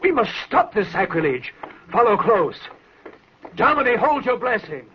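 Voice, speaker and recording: A man's voice: high-pitched, big pitch swings, normal speed. An actor playing a proud, furious old lord and father in declamatory radio drama style. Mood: outraged. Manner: imperious, declamatory. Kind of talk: 1940s radio acting. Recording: muffled, noisy old radio recording.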